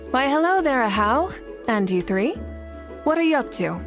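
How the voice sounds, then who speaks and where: Casting: assured, kindly war leader for a game, slightly high voice, composed, music behind. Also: female voice, animated, takes it slowly. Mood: friendly. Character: confident, kindly adult female ruler and war leader. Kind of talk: game voice acting.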